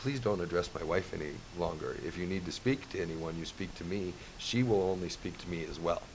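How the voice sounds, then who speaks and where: A man telling a casual story on a podcast, halting and restarting often, with many "you know"s. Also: sits low. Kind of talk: casual anecdote. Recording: faint hiss.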